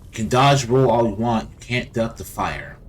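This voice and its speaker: A man's voice: medium pitch, animated, normal speed, poor audio. A man giving casual, rambling game commentary as host, often repeating his phrases. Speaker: gaming video host.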